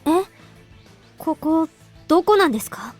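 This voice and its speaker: A woman's voice: extremely high pitch, expressive, brisk, soft background music. An actress playing an uneasy, questioning young woman in a Japanese visual novel.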